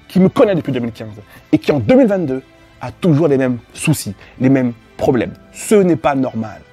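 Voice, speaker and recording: A man's voice: a little high, warm tone, breathy edge. A man coaching women in a motivational monologue, blunt and profane, repeating his points and speaking straight to the listener with prodding questions. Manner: blunt and profane, with emphatic repetition. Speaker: dating and life coach for women. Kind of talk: motivational monologue addressed to viewers.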